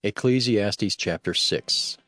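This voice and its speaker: Slow, lowish male announcer, dry sound. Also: fairly bright tone, no room sound, faint music behind. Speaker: chapter announcer. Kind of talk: formal scripted announcement.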